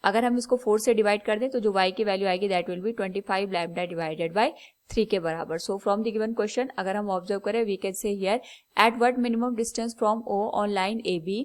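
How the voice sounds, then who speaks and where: A woman with an Indian accent speaks as a teacher walking students through material. Her voice sits at an everyday middle pitch. She explains in an instructional, methodical way, one step after another. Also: steady tone, a little slow. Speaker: physics teacher. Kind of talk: step-by-step lesson explanation, switching between Hindi and English.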